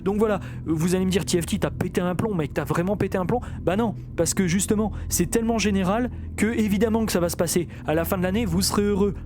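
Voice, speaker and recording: A man's voice: sits high, quick pace, hardly any pauses. A man ranting in a casual vlog, rambling with many fillers and restarts. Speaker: YouTuber. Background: music.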